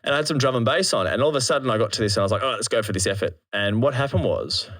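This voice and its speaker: An Australian man chatting casually as an interview guest, retelling things in an animated, slangy, sweary way and acting out his thoughts. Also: mid-range voice, rapid-fire. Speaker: interview guest, drum and bass MC. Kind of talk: casual anecdotal chat.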